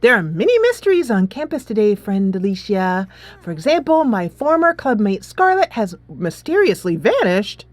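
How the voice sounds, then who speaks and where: A woman's voice: medium pitch, sing-song, normal speed, no echo, close to an everyday voice. A woman voicing a pompous, formal schoolgirl sleuth from a game, speaking in a grand, declamatory manner. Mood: grandly ominous.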